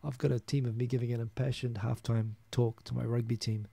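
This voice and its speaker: A man's voice: mid-range voice, flat delivery, a little fast, gravelly, mellow, slight background noise. A man in his thirties with a New Zealand accent, hosting a casual solo live stream, rambling and chuckling as he reads viewer comments. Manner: rambling, chuckling, frequent restarts.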